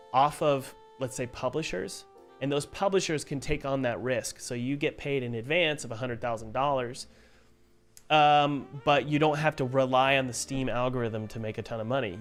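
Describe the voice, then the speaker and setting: A young man hosting a casual live stream as an indie game developer, a bit hoarse from a cold, talking loosely with lots of fillers and restarts. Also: medium pitch. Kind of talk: casual live-stream chat answering viewers. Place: home studio. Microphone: studio mic.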